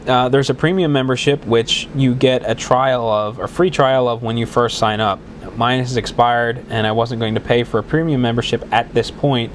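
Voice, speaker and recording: A man's voice: medium pitch, slight background noise. A man with a head cold hosting his own podcast in a casual, rambling way, with many restarts and fillers. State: congested with a cold, voice slightly worn. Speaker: podcast host. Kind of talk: casual solo podcast talk.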